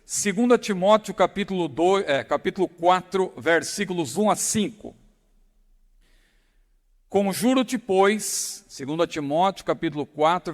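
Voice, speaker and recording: Middle-aged male pastor, São Paulo accent, high voice, emphatic sermon delivery in a reverberant hall. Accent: São Paulo Portuguese. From São Paulo, Brazil. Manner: slow emphatic reading with rising stress. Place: large church hall.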